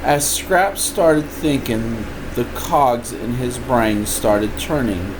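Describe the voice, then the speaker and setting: An older man, a grandfather, reading a picture book aloud in an expressive, informal way with playful asides. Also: mid-range voice, takes it slowly. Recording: steady room noise, air conditioning hum.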